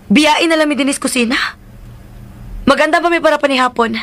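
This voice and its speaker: A bright female voice plays a long-suffering grown daughter in radio drama style. Her Cebuano-accented delivery is conciliatory and pleading, patient with everyone around her. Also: high-pitched, fairly quick.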